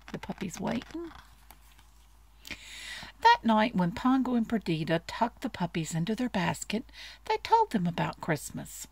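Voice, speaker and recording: A woman reading a bedtime storybook aloud in an expressive storytelling style. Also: mid-range voice, a little hiss or hum. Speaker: storybook reader.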